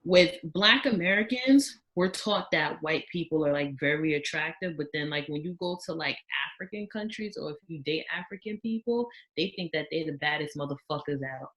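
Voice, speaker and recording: A young woman with a lowish voice, talking in a casual podcast chat. She is outspoken and animated, swinging her pitch up when she acts out other people. Her background is Haitian. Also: moderate pace, few pauses, lo-fi sound. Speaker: podcast guest.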